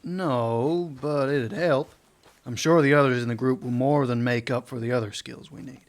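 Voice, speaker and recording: A man's voice: medium pitch, fairly even intonation, moderate pace, lightly acted. A man playing a laconic, wry, no-nonsense older US marshal in a western radio serial. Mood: persuasive, casual. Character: dry, weary, no-nonsense older Wild West marshal.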